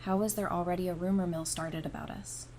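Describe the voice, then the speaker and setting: A woman's voice: fairly low pitch, fairly even intonation, fairly quick, a little mellow, natural voice. A woman voice-acting a wry, affectionate apocalypse survivor in an audio drama, casual and dryly teasing. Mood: wry, warm. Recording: noticeable background noise and ambience.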